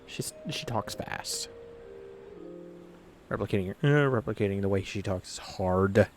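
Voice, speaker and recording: A man's voice: fairly low pitch, a little slow. A man chatting casually on a live game stream, self-deprecating and prone to swearing. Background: music.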